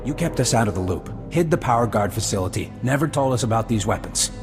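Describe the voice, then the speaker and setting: An actor playing a stern, bitter intelligence officer in a video game, curt and accusing. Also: male voice, medium pitch, animated, fast, over music, lightly acted. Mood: angry, accusing. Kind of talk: video game cutscene acting.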